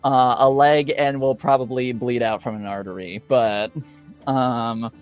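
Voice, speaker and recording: Slow, halting male game master. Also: medium pitch, fairly even intonation, faint music behind. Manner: hesitant, halting delivery with long pauses. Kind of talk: improvised tabletop game narration.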